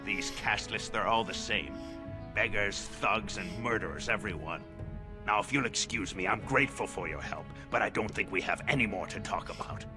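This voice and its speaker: An actor playing an anxious, pleading old shopkeeper in a fantasy video game, given to stammering and indignation. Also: male voice, fairly low pitch, expressive, moderate pace, over music, natural voice. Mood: bitter indignation. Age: older. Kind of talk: video game character acting.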